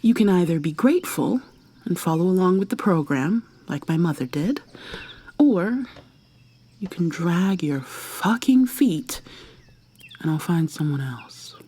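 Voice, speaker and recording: A woman acts out an obsessive female killer in an ASMR roleplay. Her voice is breathy and mellow, often sinking toward a whisper. She speaks very slowly and deliberately, coating cold, possessive threats in false sweetness. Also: on the low side, animated. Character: obsessive, menacing female serial killer lover, coldly possessive. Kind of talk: intimate ASMR roleplay monologue.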